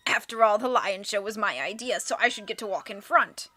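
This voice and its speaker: A woman's voice: medium pitch, brisk, sharp tone. A woman reading the lines of a boastful, commanding villain count in a storybook. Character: scheming theatrical villain count, man.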